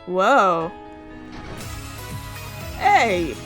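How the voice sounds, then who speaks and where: A woman hosting a gaming video, reading a visual novel aloud in an expressive way with exclaimed reactions. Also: on the low side, extremely slow, soft background music.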